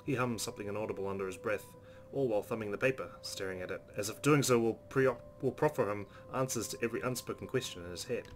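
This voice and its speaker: A man's voice: on the low side, fast talker. A man hosting a let's play, reading visual novel narration aloud expressively and adding his own commentary. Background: soft game music.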